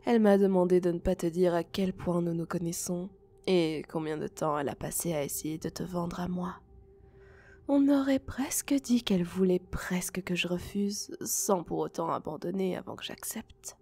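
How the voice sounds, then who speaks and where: A woman voicing a seductive, possessive spider-woman monster in an ASMR roleplay, coaxing softly and often whispering. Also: lowish voice. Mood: sly, seductive. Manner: breathy whispering, slow coaxing delivery.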